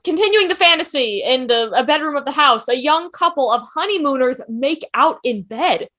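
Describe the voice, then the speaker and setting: Very high-voiced woman reading stage directions. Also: lively intonation, normal speed, sharp tone. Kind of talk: scripted narration for a table read.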